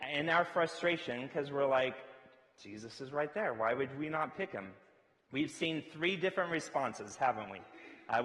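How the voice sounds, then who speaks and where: A fairly high-pitched man preaches with a loud voice in a relaxed, chatty way, full of fillers and playful quoted asides. His voice carries long reverb from a church hall. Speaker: pastor. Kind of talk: sermon.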